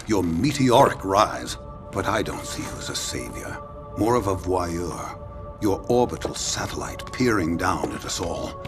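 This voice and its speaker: An actor playing a menacing, sardonic male mutant villain leader in a video game, speaking slowly and deliberately. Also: low-pitched, expressive. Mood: mocking. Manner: cold, sardonic, slow and deliberate. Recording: game audio with loud music behind.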